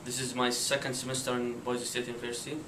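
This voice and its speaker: Young Saudi-accented man, flat and roomy. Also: medium pitch, fast talker. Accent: Saudi Arabian. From Saudi Arabia. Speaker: student. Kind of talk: interview answers. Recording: roomy with faint noise.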